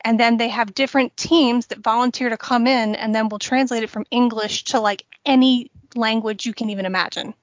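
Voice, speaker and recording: A woman with a fairly high voice talks through a topic on a podcast, steady and explanatory. The sound is dry and close but dull and lo-fi. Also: brisk, hardly any pauses, dry recording. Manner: explanatory, steady, with small laughs. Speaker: podcast co-host. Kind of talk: casual chat.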